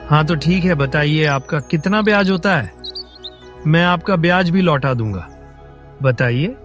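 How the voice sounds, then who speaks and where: A man's voice: a little high, animated, measured pace, fairly bright tone, faint music behind. A man voicing a poor, honest village farmer in a cartoon story, plaintive, earnest and indignant. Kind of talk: Hindi cartoon moral-story voice acting.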